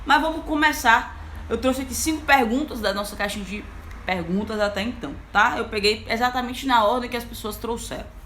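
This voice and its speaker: A young Brazilian woman, an engineer, talking casually to her online audience as a mentor, lively and chatty with many fillers. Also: fairly high pitch, fairly quick, a little hiss or hum. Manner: lively, chatty, frequent fillers like "então, assim". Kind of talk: casual live talk to an audience.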